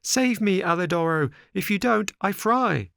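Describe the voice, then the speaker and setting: A man voicing a frightened young wooden puppet boy with a high, pleading, tearful voice. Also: expressive, moderate pace, dry recording, clean studio sound. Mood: wailing, desperate.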